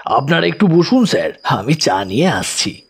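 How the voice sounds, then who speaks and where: An actor playing a deferential, superstitious village servant, humble and respectful, in a horror audio drama. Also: male voice, medium pitch, big pitch swings, normal speed, husky, exaggerated voice. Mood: obliging. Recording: loud music over the voice.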